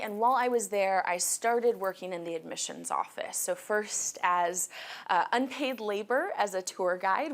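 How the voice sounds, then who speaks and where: A young woman in her twenties, a college counselor, giving a friendly, organized school presentation to students and parents, wearing a mic, with frequent fillers. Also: mid-range voice. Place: school meeting room.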